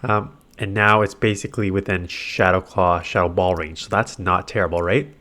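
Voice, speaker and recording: A man with a stuffy, nasal voice talks through his game as he plays. His pitch sits a little low. He rambles casually and thinks aloud, full of "like" and "uh". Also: steady tone, normal speed, sharp tone. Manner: chatty, filler-heavy, thinking aloud. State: congested. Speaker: gaming commentator. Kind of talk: casual play-by-play commentary over game footage.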